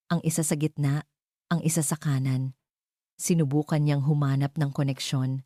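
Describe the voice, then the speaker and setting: Low, even-voiced Filipino woman storyteller. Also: dry recording, studio quality. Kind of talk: scripted dramatic story narration.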